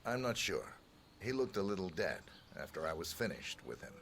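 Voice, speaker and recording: A man playing a cold, calm hitman in a video game, speaking in a measured, dryly menacing way. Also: low-pitched, heavily acted. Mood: deadpan. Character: cold, calm hitman posing as a tattoo artist. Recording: long reverb, faint ambient noise.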